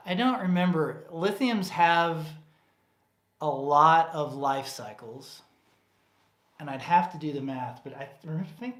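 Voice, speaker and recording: A man co-hosting a casual livestream Q&A, rambling and chatty with lots of fillers and restarts, his voice getting tired from talking. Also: fairly high pitch. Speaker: co-host of a livestream Q&A. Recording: roomy echo.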